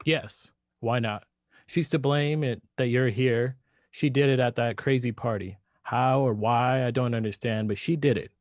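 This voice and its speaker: A meek, bewildered husband in a radio play, played by a man, speaking very slowly and haltingly. His voice is mid-pitched and dry, with words often repeated as he hesitates. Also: no echo, natural voice. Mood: resolving, resentful. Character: weak, bewildered henpecked husband turning to murder. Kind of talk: radio drama acting.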